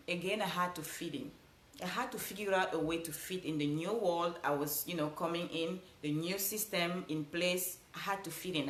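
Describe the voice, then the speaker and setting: A woman's voice: lowish voice, echoey room. A woman with a Cameroonian accent giving an earnest motivational talk, repeating phrases for emphasis. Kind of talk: motivational speech.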